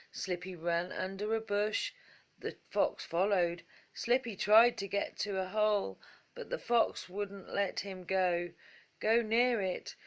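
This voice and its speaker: A woman narrating a children's bedtime story in a measured, expressive storytelling manner. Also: medium pitch.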